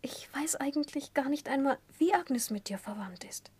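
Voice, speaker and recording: A woman's voice: high voice, lively intonation, normal speed, a little mellow, no echo. An actress playing a childish, playful young woman with a coquettish, naive, half-whispering manner in a 1960s German radio play. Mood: coaxing.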